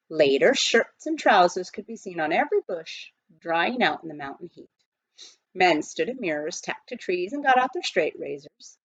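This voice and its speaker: A woman narrating a children's novel aloud in an expressive, scripted storytelling style. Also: fairly low pitch, dry recording, lo-fi sound. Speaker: audiobook reader.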